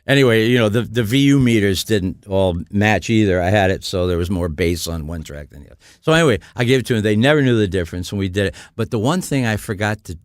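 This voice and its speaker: A man's voice: lowish voice, lively intonation, quick pace, few pauses, no room sound, clean studio sound. Older man telling rambling stories. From US Midwest. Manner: rambling anecdotes, frequent restarts. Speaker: guest musician. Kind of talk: storytelling in conversation.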